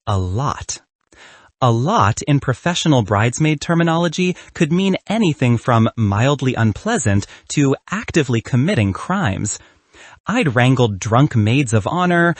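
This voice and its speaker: A man reading a scripted first-person story as an audiobook narrator, with an expressive, wry delivery. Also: mid-range voice, husky, dry recording.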